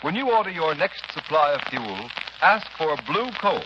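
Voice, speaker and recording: A man's voice: fairly high pitch, big pitch swings, normal speed. An American man announcing in a formal, polished, emphatic 1930s radio style, reading from a script. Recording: dull, noisy 1930s radio recording.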